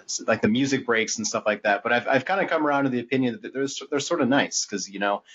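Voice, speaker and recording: A man chatting casually as a podcast co-host, in a halting, rambling way. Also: mid-range voice, a bit bright, a little hiss or hum. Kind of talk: casual chat. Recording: dull, lo-fi podcast audio.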